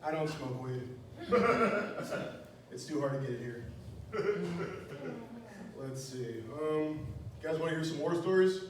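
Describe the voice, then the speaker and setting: A man's voice: on the high side, animated, takes it slowly, pauses often. A young man from Wisconsin performing a stand-up comedy set, telling crude, self-mocking jokes with a lot of "you know". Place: small club stage, echoey room. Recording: echoey room, distant mic, faint noise.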